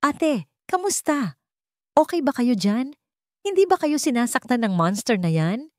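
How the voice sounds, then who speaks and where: A woman's voice: high voice, big pitch swings, unhurried, a little mellow, no echo, clean studio sound, voice pushed up. A woman voicing an anxious, protective younger cousin with insistent questions in an audio drama. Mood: worried.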